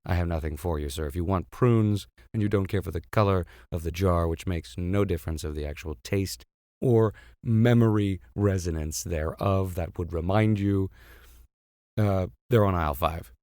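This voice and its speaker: Man playing a deadpan, low-voiced store clerk. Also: moderate pace, no room sound, clean studio sound, close to an everyday voice. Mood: weary, deadpan. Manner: deadpan, formally polite. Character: curt, long-suffering male store clerk.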